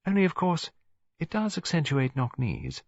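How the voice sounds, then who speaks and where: Guy doing a kindly old english granny, chatty and fond, husky voice, swoops up and down, storybook style. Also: a little high, huge pitch swings, moderate pace, dry recording, dull audio, voice pushed up, heavily acted. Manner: gentle, chatty, reasonable, fond. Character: kindly elderly English grandmother, gently scheming matchmaker.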